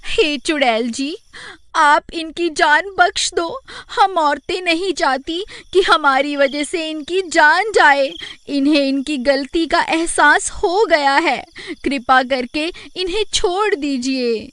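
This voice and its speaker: A woman's voice: sits high, takes it slowly, a bit bright, a little hiss or hum. A woman playing an earnest village woman in a cartoon horror story, with an imploring manner. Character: distressed, earnest village woman pleading for justice.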